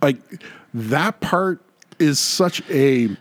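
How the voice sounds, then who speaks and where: A man's voice: on the high side, animated, extremely slow, no echo. A man co-hosting a podcast in casual, opinionated chat, making points emphatically and repeating words for stress. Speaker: podcast co-host.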